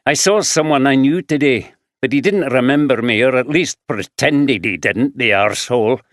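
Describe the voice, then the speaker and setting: Picture a man putting on a rough, gruff homeless drifter with a Glaswegian accent, worn down and rambling, loner to the bone. The voice sits in a middling register and comes across close and dry, with no room around it. It belongs to a gritty audiobook tale. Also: mid-range voice, moderate pace, no echo, natural voice. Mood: weary.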